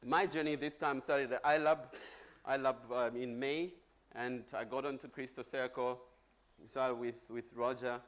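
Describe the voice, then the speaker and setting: A man's voice: medium pitch, flat delivery, measured pace, a bit bright. A middle-aged man from Zambia giving a chatty conference presentation on stage, joking with the audience. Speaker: conference speaker.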